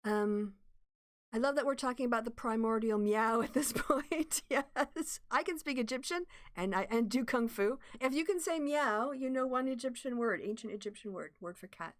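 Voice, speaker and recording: A woman hosts a relaxed livestream, reading and answering her chat. Her voice sits a little high, and she talks in an explanatory, opinionated way, restarting sentences as she thinks. The sound is dry and close, with no room echo. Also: normal speed. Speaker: livestream host. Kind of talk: casual livestream chat, reading viewer comments.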